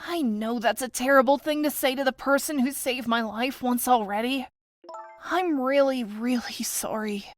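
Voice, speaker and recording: A young woman playing an excitable, earnest young detective in a video game fan dub, with expressive, peppy delivery. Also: high voice, normal speed, no echo, soft background music, natural voice. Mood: apologetic, pleading.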